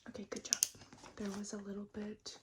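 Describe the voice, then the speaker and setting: A woman doing a soft-spoken, often whispered ASMR roleplay as a technician, gently reassuring and repeating words under her breath, close to the mic. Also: medium pitch, monotone, a little fast, slight background noise. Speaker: ASMR performer role-playing a robot technician.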